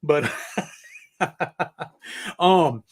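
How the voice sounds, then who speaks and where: A man's voice: a little high, drawn-out words. A middle-aged man hosting an interview as a casual chat, informal and rambling, with the odd swear word. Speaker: podcast host.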